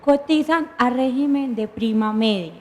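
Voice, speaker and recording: A woman with a Colombian accent and a fairly high voice lays out pension rules step by step. She poses questions and then answers them herself, in the even tone of a guest lawyer on a talk show. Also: measured pace, a little hiss or hum. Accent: Colombian Spanish. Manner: patient, methodical, lists points and answers her own questions. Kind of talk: explanatory studio talk.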